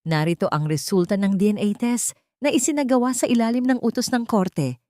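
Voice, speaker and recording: A woman voicing a calm, incisive male lawyer who addresses a court formally and firmly in a story. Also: medium pitch, fairly quick, dry recording, studio quality, voice pushed up, natural voice.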